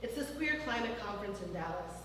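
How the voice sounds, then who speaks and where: A man's voice: high voice, animated, fast talker, fairly bright tone, slight background noise. A woman reading the lines of a laid-back, blunt male teacher, in an offhand, dry way, heard from a distant mic in a reverberant hall.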